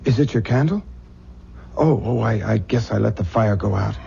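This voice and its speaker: An actor playing an easygoing, wry young husband in an old-time radio drama. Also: male voice, fairly low pitch, moderate pace, creaky, dark tone. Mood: reassuring. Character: easygoing, joking young bridegroom. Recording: muffled, noisy old radio recording.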